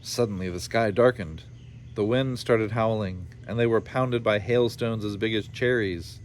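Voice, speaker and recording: A man's voice: lowish voice, moderate pace. A man reading a children's story aloud in a playful style, with informal asides. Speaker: storybook reader. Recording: clear background noise behind the voice.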